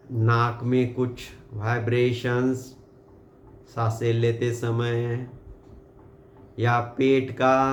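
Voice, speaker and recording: A man with an Indian accent leading a guided meditation, giving calm, unhurried and gently directive instructions. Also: mid-range voice. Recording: muffled, roomy sound with background hiss.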